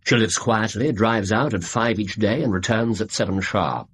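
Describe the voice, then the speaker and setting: An actor playing an incisive, self-assured Victorian gentleman detective in a radio drama, with an English accent and precise, theatrical delivery. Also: fairly low pitch, normal speed, a little hiss or hum, natural voice. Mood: amused, brisk.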